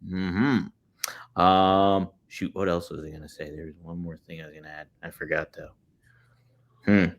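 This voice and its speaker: A man's voice: deep voice, flat delivery, unhurried. A man in his late thirties co-hosting a podcast, chatting casually in an upbeat, encouraging way. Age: 30s. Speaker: podcast co-host.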